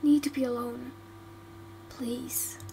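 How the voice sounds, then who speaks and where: A woman's voice: on the high side, flat delivery, takes it slowly, vocal fry, warm tone, breathy edge, heavily acted, low-quality recording. A woman voicing a sullen young female bandit who answers curtly and mutters. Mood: withdrawn. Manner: curt, sulky, muttering.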